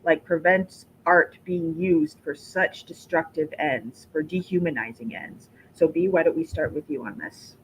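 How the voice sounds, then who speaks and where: Measured woman moderating a panel. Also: low voice, a little hiss or hum, poor audio. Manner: measured, encouraging, with frequent affirming murmurs. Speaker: festival founder and host.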